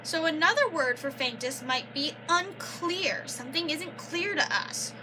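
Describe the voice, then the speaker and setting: Young woman teacher doing a kids book read aloud, really high lively voice, goes slow, some noise behind. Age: young adult. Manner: instructive, prompting listeners with questions and affirmations. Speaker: student teacher reading aloud. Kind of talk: children's picture book read-aloud with explanations and questions.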